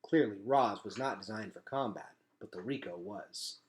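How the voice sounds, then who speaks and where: A man's voice: lowish voice, moderate pace. Man reading a storybook aloud with dramatic emphasis. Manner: dramatic, emphatic delivery with hushed drops. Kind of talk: storybook read-aloud narration.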